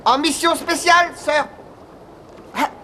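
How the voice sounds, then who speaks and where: High-pitched earnest little cartoon critter. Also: male voice, takes it slowly, sharp tone, slight background noise, heavily acted. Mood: lovestruck. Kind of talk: French cartoon dub acting. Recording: music behind the voice.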